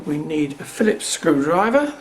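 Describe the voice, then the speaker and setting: A man giving an unscripted, methodical hands-on explanation in a measured way, as the presenter of a repair video. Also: on the high side, very animated, slight background noise.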